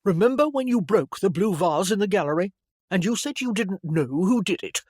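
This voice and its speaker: Narrator voicing an earnest, emphatic young gentleman. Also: medium pitch, expressive, normal speed, no echo, studio quality, lightly acted. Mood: indignant accusing. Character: earnest, apologetic young gentleman, indignant at his sister.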